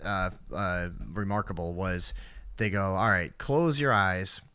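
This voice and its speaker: A man's voice: low voice, lively intonation, a little slow, bright, forceful tone, clear, resonant tone, no room sound. A man co-hosting a live stream, telling stories casually with slangy, profane banter. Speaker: co-host of a live stream show. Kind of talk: casual comic storytelling and banter.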